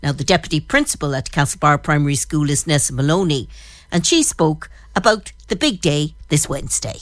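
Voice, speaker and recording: A woman's voice: low voice, expressive, brisk, no echo, clean studio sound. A woman working as a radio news reporter, presenting a report and asking interview questions.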